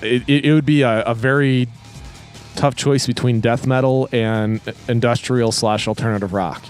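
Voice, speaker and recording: A man with a mid-pitched voice talks as a podcast co-host in a casual, emphatic way. Music plays quietly in the background. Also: fairly even intonation, normal speed.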